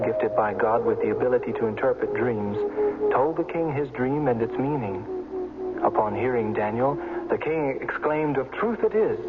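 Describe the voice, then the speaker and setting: A man reading a scripted religious radio announcement from the 1970s in a solemn, measured way, on a muffled old recording. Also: mid-range voice.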